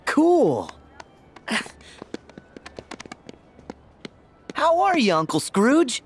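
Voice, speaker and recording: An actor playing a plucky, earnest American teenage boy hero in an animated video game. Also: very high voice, slow, soft background music, heavily acted. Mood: curious, friendly.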